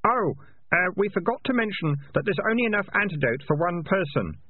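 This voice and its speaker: Storybook lad, read by a man: cool, sly, mock-polite, lighter raised pitch, narrow phone-like audio. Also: expressive, a little fast, dry recording.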